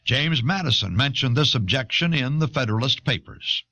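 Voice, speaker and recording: A man reading a scripted educational history narration in a measured, explanatory manner on an old cassette. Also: medium pitch, expressive, sharp tone, dry recording. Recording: dull old cassette audio.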